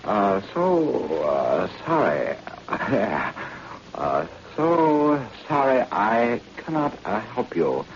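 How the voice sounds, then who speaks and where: An actor playing a servile, stuttering Chinese assassin in halting, formal English, in 1940s radio drama style. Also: male voice, lowish voice, big pitch swings, heavily acted. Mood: obsequious. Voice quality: stammering. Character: stuttering, servile Chinese man, secretly a quiet knife-wielding assassin. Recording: muffled, noisy old-time radio recording.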